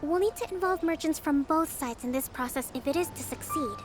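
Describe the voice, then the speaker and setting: A woman voicing a calm young female leader in a video game, measured and diplomatic in negotiation. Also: sits high, music in the background, close to an everyday voice.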